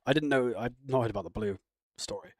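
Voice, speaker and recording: A man's voice: mid-range voice, fast, no room sound, clean studio sound. A man chatting casually on a live stream, rambling and answering viewer comments. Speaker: live streamer reacting to chat. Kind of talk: casual chat.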